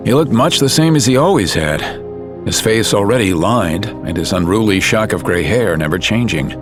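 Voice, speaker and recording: A man's voice: fairly low pitch, expressive, normal speed, over music. A man reading scripted dramatic narration for an audio drama.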